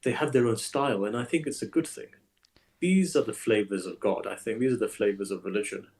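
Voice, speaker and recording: Emphatic, animated male imam guest. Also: lowish voice, fairly quick. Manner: animated, emphatic, repeats phrases. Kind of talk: conversational interview.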